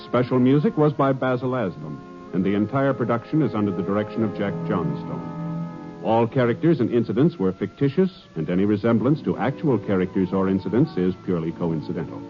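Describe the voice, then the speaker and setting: Low-voiced American 1950s radio announcer, male. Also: animated. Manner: polished, formal, measured promotional delivery. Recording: dull 1950s radio recording, faint music.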